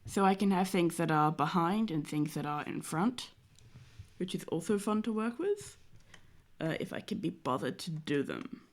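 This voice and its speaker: A young woman talks through a drawing stream while sick, her voice nasal and blocked up from a cold. Her pitch sits a little low and she rambles loosely, leaning on fillers as she works. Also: expressive, moderate pace. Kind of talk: casual chat while drawing.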